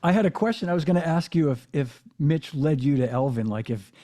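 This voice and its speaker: A man hosting a casual interview, speaking in halting phrases with many restarts and affirmations. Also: on the high side, animated, dark tone. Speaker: podcast host and drummer.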